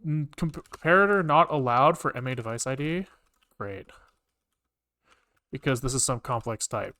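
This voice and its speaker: A man's voice: mid-range voice, moderate pace, sharp tone, dry recording. Man muttering through code, dry close sound. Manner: muttering, casually sweary, reading code aloud in fragments. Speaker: programming livestreamer. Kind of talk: thinking aloud while coding on a livestream.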